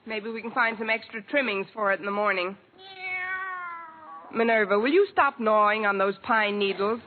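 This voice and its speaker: A woman's voice: medium pitch, steady tone, normal speed, phone-quality sound, close to an everyday voice. An actress playing a wry, wisecracking single woman in a mid-century radio sitcom, on an old recording. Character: wry single woman alone with her cat. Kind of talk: 1940s-50s radio sitcom acting and narration. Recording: noisy, band-limited old radio recording.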